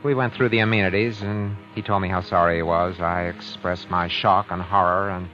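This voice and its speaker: Low-voiced, cagey radio suspense husband. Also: male voice, steady tone, bright, forceful tone. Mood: grimly matter-of-fact. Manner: deliberate narration, halting when questioned. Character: cagey, calculating husband accused of murdering his wife. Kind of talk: 1940s-50s radio suspense acting, first-person narration. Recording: muffled vintage radio recording, music behind.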